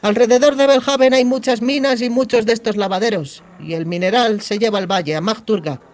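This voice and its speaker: A man's voice: sits high, voice pushed up, natural voice. A man voicing a cheeky, bossy young woman bandit guide in an audiobook, sardonic and colloquial. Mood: matter-of-fact, explaining. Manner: sardonic, colloquial, explaining briskly. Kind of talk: audiobook character dialogue.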